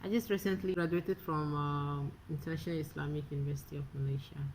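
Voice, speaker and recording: A woman from Benin, a recent graduate, recounting her experience in a halting way with frequent uhs. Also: low-pitched, steady tone, a little hiss or hum, poor audio. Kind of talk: personal testimony.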